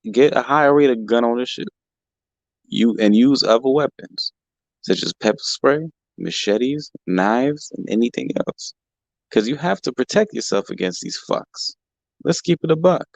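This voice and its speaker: Gravelly, heated man ranting on a livestream. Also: medium pitch, dry recording. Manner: heated, profane, repeats himself, slangy. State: voice a bit rough from allergies. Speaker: livestream host. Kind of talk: casual livestream rant. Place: home in California.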